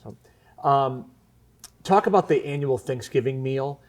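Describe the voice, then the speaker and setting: A man's voice: medium pitch, a little slow, a little mellow. A man hosting a local television interview, asking questions in a conversational way.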